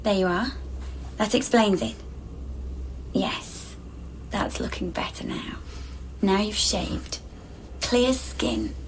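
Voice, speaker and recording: An actress in a radio drama playing a forgetful, clinging apparition of a man's lover, hushed and childlike, asking short, searching questions. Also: medium pitch, a little hiss or hum, rough recording. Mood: anxious, fragile. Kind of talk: intimate radio drama acting.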